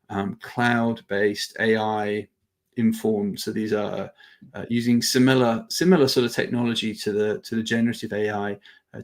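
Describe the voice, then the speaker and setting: A man presenting an informal live product demo as a webinar host, with halting phrasing and repeated words. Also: fairly low pitch.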